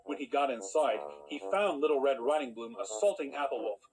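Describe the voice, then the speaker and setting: A man playing a measured storyteller, reading scripted storybook narration. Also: medium pitch, very animated, creaky, music in the background, poor audio.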